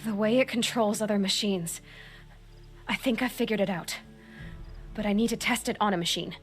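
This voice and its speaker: A young actress playing a determined outcast huntress, talking in a terse, focused way and often dropping to a hush. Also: female voice, medium pitch, normal speed, lightly acted. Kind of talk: video game cutscene acting. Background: game music.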